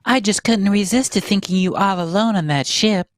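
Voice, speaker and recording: A woman's voice: medium pitch, moderate pace, no echo, studio quality, lightly acted. A woman playing a doting, fussy, hospitable mother in a sci-fi radio play, effusive and coaxing. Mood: sympathetic, coaxing.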